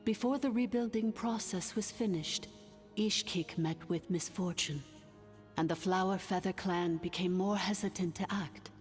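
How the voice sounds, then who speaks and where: Lady playing ancient ai queen in a game, lowish grave formal voice, big long reverb, music under it. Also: a little mellow, close to an everyday voice. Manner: formal, measured, grave exposition. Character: solemn ancient female artificial intelligence ruler. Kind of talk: video game voice acting.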